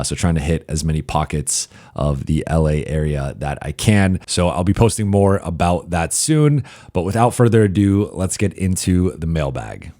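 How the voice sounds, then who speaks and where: Hoarse man, very low pitch, dry close sound; sports reporter working through a stats-heavy mailbag. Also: dark tone, no echo. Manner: analytical, reels off statistics with frequent "uh" pauses. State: hoarse, partly lost voice. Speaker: basketball reporter and show host. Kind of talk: solo mailbag podcast commentary.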